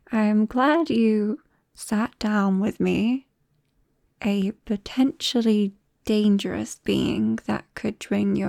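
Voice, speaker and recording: A British woman playing a nervous, tender vampire best friend in an ASMR roleplay, speaking softly and haltingly with drops into whispers. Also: mid-range voice, gravelly, no room sound, clean studio sound. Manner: hesitant, halting, breaking into whispers. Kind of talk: ASMR roleplay, soft intimate acting. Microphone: close microphone, dry.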